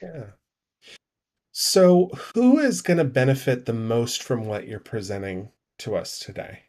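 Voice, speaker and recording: A man hosting a live online coaching show, reading an upbeat intro and then asking questions with quick affirmations. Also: medium pitch, lively intonation, darkish tone. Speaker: show host.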